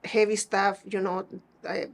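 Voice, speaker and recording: A middle-aged woman with a northern Mexican Spanish accent and a medium-pitched voice shares personal stories in an interview. Her English is plain-spoken and somewhat halting, in a conversational style. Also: level tone. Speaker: seamstress being interviewed.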